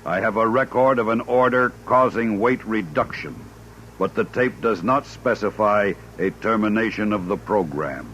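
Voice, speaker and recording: A dull, noisy old radio recording carries a man playing a household robot. He is low-pitched and formal, a polite and literal-minded servant who measures out each phrase. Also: normal speed, a bit bright, close to an everyday voice. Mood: formal, impassive. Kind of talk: vintage radio drama acting.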